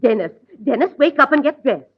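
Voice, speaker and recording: A woman's voice: high voice, expressive, a little fast. Nagging, fussy mother. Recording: dull hissy 1940s radio broadcast.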